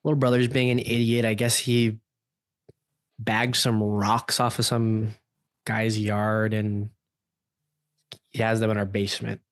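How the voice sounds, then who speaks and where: A man's voice: on the low side, little pitch movement, measured pace, long pauses, no echo, lightly acted. Man as exasperated teenage big brother, dry sound. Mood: defensive. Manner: slangy, defensive, hesitant.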